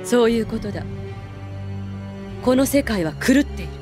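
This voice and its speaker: A woman's voice: fairly high pitch, expressive, normal speed, close to an everyday voice. A woman acting a cold, authoritative military instructor and zealot in an anime audio drama. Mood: cold, persuasive. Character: stern, commanding female military instructor turned zealot. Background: background music.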